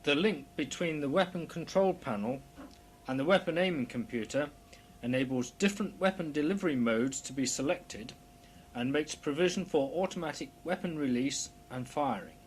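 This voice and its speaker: A man reading a scripted technical instructional narration in a formal, measured, precise explanatory manner. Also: expressive, poor audio. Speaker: narrator of a technical training film. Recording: clear background noise with low hum.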